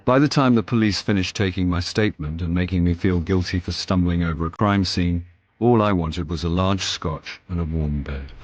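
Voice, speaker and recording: A man's voice: low-pitched, normal speed, faint music behind. A British actor playing a wry, weary middle-aged man in a radio drama, with a dry, self-deprecating manner. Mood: wry narration. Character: wry, weary, hapless middle-aged male actor turned amateur sleuth. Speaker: narrator and lead actor. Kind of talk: radio drama acting and first-person narration. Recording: radio drama with background noise and ambience.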